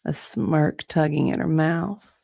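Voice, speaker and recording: A woman's voice: sits low, fairly even intonation, takes it slowly, creaky, warm tone, dry recording, studio quality. A woman narrating a romance story as a dramatic reading, in a sultry, suspenseful manner.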